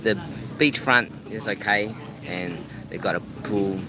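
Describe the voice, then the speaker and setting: A man's voice: fairly low pitch, takes it slowly. A man chatting casually on a live stream from a beachside restaurant, often repeating words as he restarts his sentences. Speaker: travel vlogger. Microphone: phone live-streaming. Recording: outdoor traffic noise. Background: street and vehicle noise.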